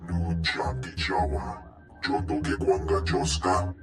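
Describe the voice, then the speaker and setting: A man's voice: very deep voice, unhurried, fairly bright tone. A man voicing a huge, ponderous alien crime lord speaking an invented alien language in a video game cutscene. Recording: echoey, distant, music underneath.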